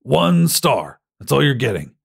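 A man's voice: medium pitch, very animated, unhurried, creaky, husky, pitched above the performer's own voice, exaggerated voice. A man voicing an irritated, sceptical father in an audiobook story, with a curt, huffy manner. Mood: scornful, curt. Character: irritated, sceptical, scornful father on a museum visit.

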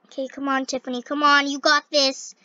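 A young female gamer with a scratchy voice, commenting on a game and reading its dialogue aloud in an excitable, halting way. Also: high voice, no room sound. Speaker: gaming video host. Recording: dull, lo-fi close microphone.

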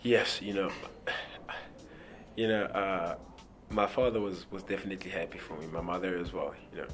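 A young South African man with a very low voice gives a relaxed, rambling interview answer, stumbling over repeated words and leaning on "you know". He sits in front of a press backdrop. Clear music plays under his voice throughout. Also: normal speed. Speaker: rugby player.

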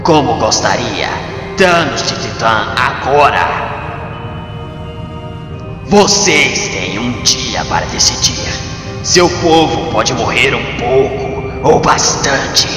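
A Brazilian man voicing an arrogant alien envoy of a tyrant in a motion comic, with a slow, taunting, theatrical delivery. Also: mid-range voice, expressive. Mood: imperious. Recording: echoey long reverb, music underneath.

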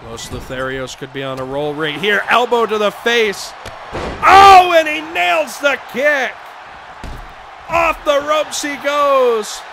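A man losing his voice, shouting excited play-by-play for a wrestling video game. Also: very high voice, takes it slowly, vocal fry. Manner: shouting excitedly over the action. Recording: game crowd noise behind voice. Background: video game arena crowd and sound effects.

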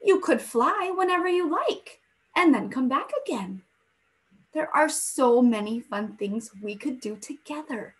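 A woman's voice: high voice, animated, unhurried, pitched above the performer's own voice. A woman doing an eager playful boy squirrel.

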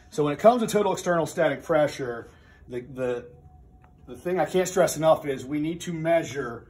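A man's voice: medium pitch, a little mellow. A middle-aged man teaching HVAC in a training room, explaining step by step with plain, methodical talk and frequent restarts. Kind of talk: hands-on technical demonstration. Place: indoor training room with a furnace.

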